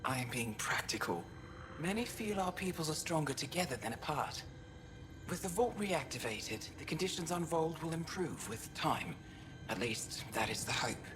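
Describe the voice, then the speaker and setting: Low-voiced, dignified alien steward. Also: female voice, lively intonation, background noise. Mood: practical, earnest. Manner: formal, measured, earnest. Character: dignified, practical alien woman, steadfast steward of town. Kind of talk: video game character dialogue.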